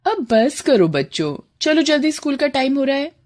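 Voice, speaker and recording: A woman's voice: high-pitched, big pitch swings, normal speed, a little mellow, voice pushed up. Woman as a coaxing, caring cartoon mother. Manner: coaxing, reassuring. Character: caring, practical mother of young children. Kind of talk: children's cartoon dialogue.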